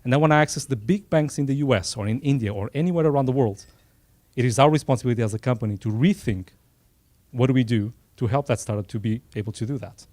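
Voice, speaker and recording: A man's voice: medium pitch, fast, darkish tone, no echo. Earnest Portuguese-accented man speaking off the cuff. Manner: earnest, unscripted, frequent self-deprecating asides. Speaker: event sponsor speaker. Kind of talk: informal speech at a dinner event. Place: small room at a dinner event.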